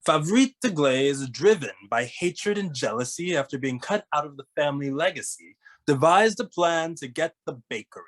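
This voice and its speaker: A man playing a young amateur detective in a cartoon mystery table read, explaining crimes in a deliberate, theatrical way. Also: fairly high pitch, animated. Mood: dramatic, deductive. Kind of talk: animated comedy table read, dramatic deduction monologue.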